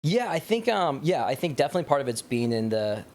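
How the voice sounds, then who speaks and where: A man's voice: a little high, sing-song, quick pace, darkish tone, a little hiss or hum. A young American man chatting casually as an interview guest, rambling through stories with lots of "like" and "you know". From Wyoming, United States. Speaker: podcast guest, startup founder. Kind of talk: casual interview chat, storytelling.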